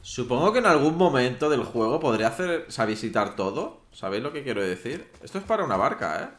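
A man's voice: mid-range voice, sing-song, fairly quick. A man giving casual commentary while playing a video game, chatting to his viewers. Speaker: gameplay streamer. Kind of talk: casual let's-play commentary. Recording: roomy with faint noise.

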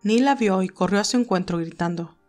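A woman's voice: medium pitch, a little fast, a little mellow, soft background music. A woman reading scripted narration of a romantic story.

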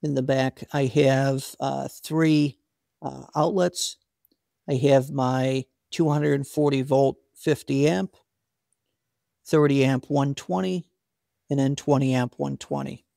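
A man in his forties with a gravelly, mid-pitched voice walks through his equipment in a casual, practical way, with a few fillers and restarts. He is recorded close and dry in a garage workshop. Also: steady tone, slow, dark tone, no room sound. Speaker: solar equipment channel host. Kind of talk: casual explainer, talking to camera.

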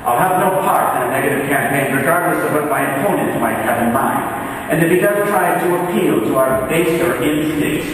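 A man's voice: on the high side, animated, fairly quick, a bit bright. A man voicing a blunt older professor turned politician, formal, earnest and plain-spoken, as in a story reading. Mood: firm, principled. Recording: very echoey hall, distant mic, faint noise.

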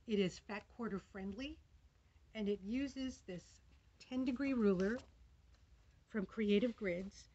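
A woman's voice: medium pitch, takes it slowly, pauses often, mellow. Older lady chatting through a quilt ruler demo in a fabric shop, a bit dull lo-fi sound. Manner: chatty and enthusiastic. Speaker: quilting demonstrator. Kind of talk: casual product demo. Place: fabric store aisle. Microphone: camera in front at table.

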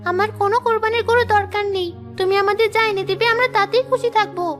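A woman plays a young cartoon girl with an extremely high voice. She sounds earnest and sensitive, with a plaintive way of talking. Music runs clearly underneath. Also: steady tone, quick pace. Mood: earnest, devout. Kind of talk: cartoon voice acting, moral story.

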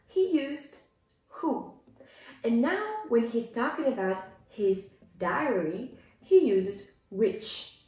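Echoey, animated young woman teaching English. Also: fairly high pitch. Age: young adult. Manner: deliberate, clearly explanatory, gesturing as she teaches. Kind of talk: reading a simplified story aloud and explaining words to learners. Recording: echoey room, music underneath. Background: background music.

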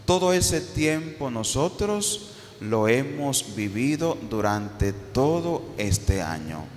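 Slow-preaching priest in a reverberant church. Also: male voice, medium pitch. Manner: slow, rising and falling preaching cadence with repeated phrases. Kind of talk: sermon. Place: church, at a pulpit. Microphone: handheld mic on a stand. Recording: reverberant church with faint background noise.

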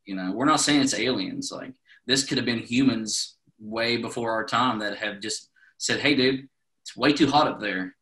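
A man's voice: mid-range voice, moderate pace, sharp tone, poor audio. A man hosting a casual podcast, laid-back and slangy, calling people dude. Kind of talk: casual chat.

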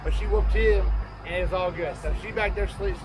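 A man's voice: high voice, a little fast, music in the background, low-quality recording. A man in his thirties on a boat deck, talking casually and folksily to a close camera about a fishing trip. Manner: laid-back, rambling, folksy. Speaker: fishing vlogger. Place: open deck of a boat at sea, outdoors. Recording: wind and engine noise outdoors.

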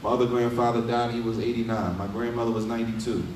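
A man's voice: mid-range voice, little pitch movement, fast talker, darkish tone, slight background noise. A middle-aged man giving a casual Islamic lecture, asking rhetorical questions and often adding "right?". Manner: conversational, asks rhetorical questions with frequent "right?". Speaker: imam giving a lesson. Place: small indoor study room. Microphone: desk mic on a stand. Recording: echoey room, mic at a distance.

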